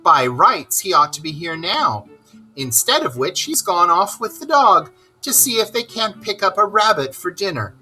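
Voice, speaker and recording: A woman's voice: fairly low pitch, big pitch swings, moderate pace, pushed and bright, faint music behind, voice pushed up, low-quality recording. A woman voicing a large barge woman in a children's storybook, hearty, polite and chatty. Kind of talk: children's storybook read-aloud.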